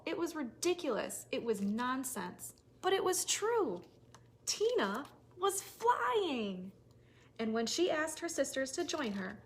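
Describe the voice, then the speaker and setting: Woman, higher-pitched voice, children's story time host, expressive read-aloud, simple phrasing, noisy recording.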